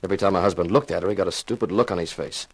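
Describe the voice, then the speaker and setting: An actor playing a dry, wisecracking insurance investigator who narrates a 1950s radio detective drama. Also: male voice, deep voice, rapid-fire, husky. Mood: sardonic narration. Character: wry, hard-boiled male insurance investigator and narrator. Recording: slightly noisy 1950s radio recording.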